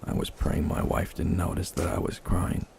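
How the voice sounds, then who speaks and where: A man's voice: deep voice, flat delivery, gravelly, noisy background. A man narrating a first-person horror story in a somber, dramatic manner. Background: slow dark music.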